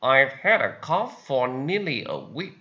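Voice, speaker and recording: A man with a Vietnamese accent, a native Vietnamese speaker, teaching English vocabulary and repeating each example sentence slowly. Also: mid-range voice, sing-song, pushed and bright, lo-fi sound. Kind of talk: slow vocabulary lesson with repetition.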